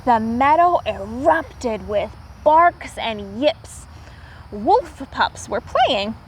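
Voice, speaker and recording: A woman teacher reading aloud to young children, pausing to explain words simply and repeating herself for clarity. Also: on the high side, big pitch swings, slight background noise.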